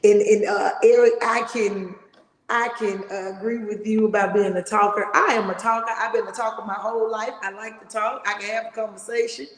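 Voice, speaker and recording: Loud, emphatic middle-aged woman, echoey room. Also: mid-range voice, fairly even intonation, brisk. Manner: loud, big-voiced and emphatic. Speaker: podcast host. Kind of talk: motivational casual talk. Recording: echoey room with long reverb.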